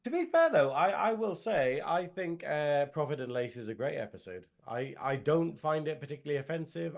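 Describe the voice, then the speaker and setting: A man with a northern English accent, chatting casually as a podcast co-host. His voice is hoarse from illness and he rambles and laughs often. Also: medium pitch, a little mellow. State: hoarse, lost voice. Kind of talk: casual chat.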